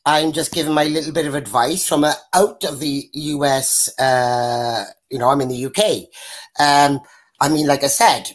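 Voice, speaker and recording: A woman with a deep voice, bluntly giving her opinions as a guest on a video-call panel. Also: steady tone, normal speed. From Wales. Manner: blunt, rambling, mimics others when quoting. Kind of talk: casual opinionated chat.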